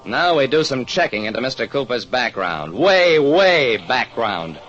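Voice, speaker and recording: A man's voice: mid-range voice, sing-song, measured pace, a bit bright, a little hiss or hum. A man playing a shrewd, wry hardboiled private detective narrating an old radio mystery. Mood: wry, probing. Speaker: narrator and lead actor. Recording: muffled old radio recording.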